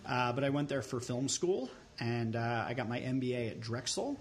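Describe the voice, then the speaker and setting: A man's voice: mid-range voice, normal speed. Joking man in his thirties. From Long Island, New York. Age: 30s. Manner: playful, deadpan tall tales. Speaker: podcast host. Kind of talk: joking casual chat.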